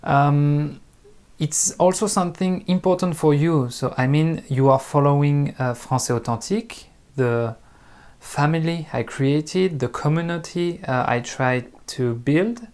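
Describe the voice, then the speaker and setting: French-accented male host, unhurried and halting, frequent filler pauses, off-the-cuff talk to viewers. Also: a little high, a little mellow. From France. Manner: hesitant, pausing often with ums and uhs. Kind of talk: unscripted talk to camera.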